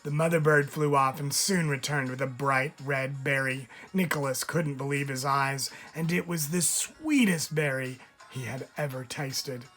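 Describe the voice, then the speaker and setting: A man, a teacher, reading a picture book aloud in an expressive storytelling style, stressing key words. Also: medium pitch, a little slow. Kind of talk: expressive read-aloud narration for children. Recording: music under the voice. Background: music.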